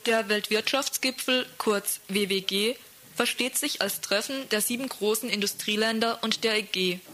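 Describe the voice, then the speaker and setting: A woman reads a prepared report for a radio news programme. Her voice is notably bright and sharp, sitting at an ordinary female pitch. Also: normal speed. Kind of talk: scripted news report read aloud.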